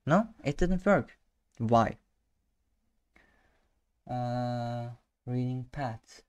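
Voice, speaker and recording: A man's voice: mid-range voice, lively intonation, clear, resonant tone. A young man whose first language is Turkish, talking through a coding tutorial at his desk with lots of fillers and restarts. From Turkey. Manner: halting, many fillers and restarts, self-amused. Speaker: programming tutor. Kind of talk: casual screen-share coding tutorial. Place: small room indoors.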